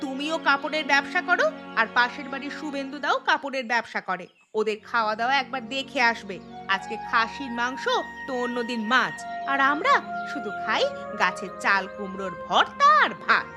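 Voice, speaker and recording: A woman's voice: high-pitched, expressive, a little fast. A woman voicing a discontented, sarcastic young village newlywed in a cartoon, complaining as she talks. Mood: bitter complaining. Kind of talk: Bengali cartoon voice acting. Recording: music behind the voice.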